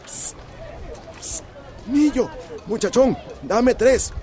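An actor playing a folksy, plain-spoken shopkeeper in a radio soap opera, speaking expressively. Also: male voice, extremely high pitch, animated, very slow, mellow, some chatter behind, full character voice. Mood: friendly, grateful. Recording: faint outdoor street noise.

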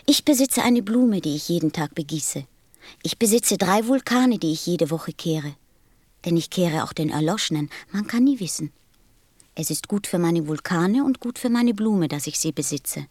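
A woman's voice: fairly low pitch, lively intonation, moderate pace, a little mellow, dry recording. A woman playing a curious, earnest young boy in a radio play, innocent and persistent in manner. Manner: innocent, insistent questioning.

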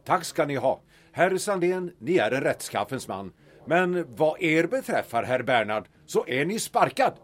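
An actor playing a haughty, condescending Stockholm gentleman in a period audio drama, speaking formally and theatrically. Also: on the high side, big pitch swings. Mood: scornful. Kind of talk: period radio drama acting.

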